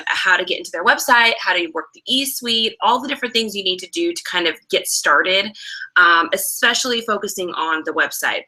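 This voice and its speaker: A young woman hosts a casual online training, explaining things step by step in a chatty, filler-heavy way. Her voice is low for a woman but bright and forward. She laughs easily and keeps things informal. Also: low-quality recording. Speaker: co-host of an online sales training. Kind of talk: casual instructional talk.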